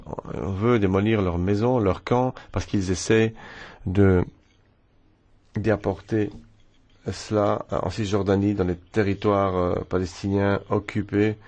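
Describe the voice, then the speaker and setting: Lowish voiced man reading out a formal statement, steady with a few ums. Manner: measured, with occasional hesitations. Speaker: member of parliament, delegation speaker. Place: press room.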